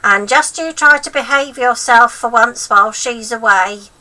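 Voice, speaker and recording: A woman's voice: a little high, normal speed, sharp tone, recorded from a distance, voice pushed up, poor audio. A woman voicing a selfish, grumpy old grandmother from a children's storybook, snappish, scolding and demanding. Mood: bossy. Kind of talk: acted children's storybook reading.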